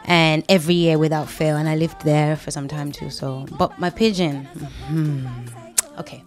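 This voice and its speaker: A young woman from London with a London accent, a singer telling stories in a casual interview, chatty and quick to laugh. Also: low voice, steady tone, soft background music. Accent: London English. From London, England. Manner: chatty storyteller, quick to laugh, talks through restarts.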